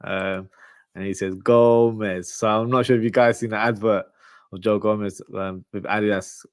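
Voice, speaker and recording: A man's voice: on the low side, fairly even intonation, normal speed, clear, resonant tone, no room sound, studio quality. A man who speaks Urdu at home, hosting a casual live football preview and talking informally and directly to his viewers. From Pakistani family from Lahore. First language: Urdu spoken at home. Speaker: football podcast host. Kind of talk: casual live chat, match preview and reading comments.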